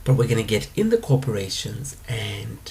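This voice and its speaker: A man talking alone as a spiritual teacher, explaining his ideas in a calm, unhurried way with drawn-out pauses. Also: on the low side, vocal fry, a little hiss or hum. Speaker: spiritual teacher answering questions. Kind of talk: monologue lecture.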